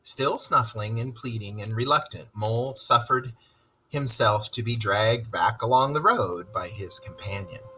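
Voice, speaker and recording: A man reading a storybook aloud as narrator, in an expressive storytelling style. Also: fairly low pitch, unhurried, slight background noise, rough recording.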